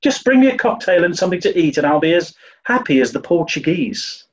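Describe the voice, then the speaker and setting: A man playing a dim-witted, stuffy upper-class English gentleman with an English accent in a 1940s-style radio comedy. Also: fairly high pitch, very animated, a little fast, dull audio. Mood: tetchy, flustered.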